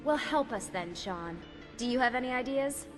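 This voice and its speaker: A woman's voice: a little high, animated, moderate pace, in a large hall, over music, lightly acted. An actress voicing a level-headed, wry young woman on a covert team in a video game cutscene. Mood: wry, focused. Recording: loud game soundtrack under the voice.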